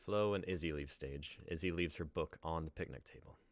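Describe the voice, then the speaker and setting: A man in his twenties with a deep voice. He is a playwright on a stream, moving between narrating stage directions and casual chat about his writing. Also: a little fast. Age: 20s.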